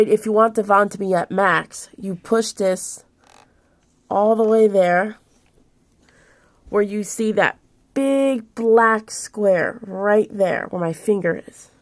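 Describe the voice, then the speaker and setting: Casual young woman showing off her stuff. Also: medium pitch. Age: 20s. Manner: rambling, informal, hands-on explaining. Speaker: vlogger showing purchases. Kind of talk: casual show-and-tell vlog.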